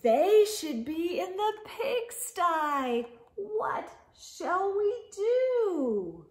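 High, animated woman reading to children. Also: extremely slow. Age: middle-aged. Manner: expressive, sing-song delivery with animal sounds and hushed asides. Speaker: children's storytime host reading aloud. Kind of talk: picture-book read-aloud for young children. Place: living room or home library with bookshelves.

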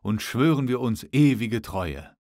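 A man reading the part of a proud, smooth-talking young gallant in a formal, insistent audiobook manner. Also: mid-range voice, animated, a little slow, a little mellow, no room sound, studio quality, close to an everyday voice. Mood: ardent.

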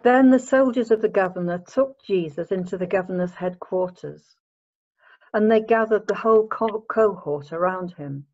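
A woman narrating a Bible story in a measured, formal read-aloud manner. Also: mid-range voice, a little mellow. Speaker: narrator of a church scripture reading. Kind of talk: dramatic Bible reading, scripted narration. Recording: dull lo-fi home recording.

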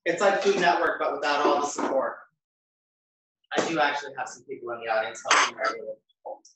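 A man's voice: medium pitch, steady tone, a little fast, sharp tone, slight background noise. Echoey, distant American man demonstrating cooking. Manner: chatty, informal, thinking aloud while working. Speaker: chef hosting a cooking demonstration. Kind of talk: casual live cooking demonstration. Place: home kitchen, indoors. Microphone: camera a few metres away. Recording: very echoey kitchen, distant microphone.

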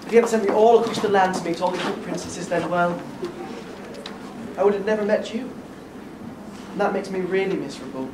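A man's voice: high voice, a little fast, pauses often, lightly acted. A young man playing a bashful, earnest fairy-tale prince in a stage pantomime, projecting hesitantly. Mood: lovelorn. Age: young adult. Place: theatre stage before an audience. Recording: echoey theatre, distant mic, room noise.

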